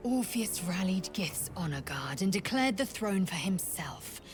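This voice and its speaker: A fierce alien warrior woman, pitched low and swinging widely in intonation. She speaks formally and haughtily, every phrase edged with scorn, in dramatic fantasy game style. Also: big pitch swings, measured pace, faint music behind. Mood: grave, reverent. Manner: haughty, formal, clipped menace. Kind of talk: dramatic video game acting.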